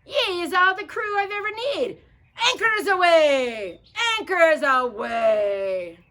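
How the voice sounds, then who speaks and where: A woman voicing a blustery, impatient male captain in a children's storybook, declaiming and shouting in slang. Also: high-pitched, animated, takes it slowly, pitched above the performer's own voice, exaggerated voice. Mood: delighted. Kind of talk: children's storybook read-aloud.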